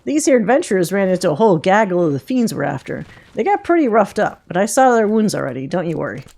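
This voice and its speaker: A woman's voice: medium pitch, very animated, fast talker, vocal fry, a little mellow. A woman playing a folksy, confident monster-hunter mentor. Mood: instructive. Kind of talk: reading game dialogue in character.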